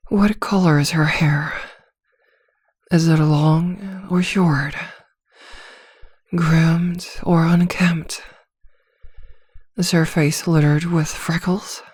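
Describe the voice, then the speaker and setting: A woman playing a vampire posing as a therapist in an ASMR roleplay, speaking softly and soothingly with quiet command, often near a whisper, close to the mic. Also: on the low side, no room sound, exaggerated voice. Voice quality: soft, breathy, often slipping into whisper. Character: female vampire posing as a calm, teasing, controlling therapist. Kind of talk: ASMR roleplay, slow guided hypnosis. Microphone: close microphone, dry.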